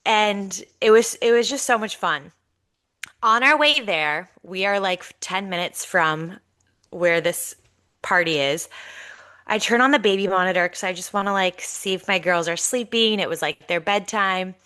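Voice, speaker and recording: Woman, 30s, stuffy nasal voice, animated storyteller, tense bright tone. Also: medium pitch, a little slow, clean tone. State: congested, nasal. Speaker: podcast co-host. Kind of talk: casual storytelling chat.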